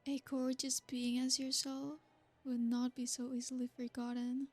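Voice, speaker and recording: Female performer as an ancient noble vampire, seductive and possessive. High, even delivery, slow and formal. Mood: flirtatious. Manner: soft, formal and flattering. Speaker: ASMR roleplay performer. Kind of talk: ASMR roleplay.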